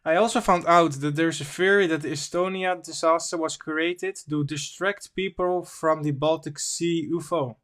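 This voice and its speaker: Halting man, English not his first language. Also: on the high side, fairly even intonation, fairly bright tone. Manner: halting, self-correcting, reads slowly and stumbles. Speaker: livestream host. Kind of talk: casual livestream chat, reading articles aloud.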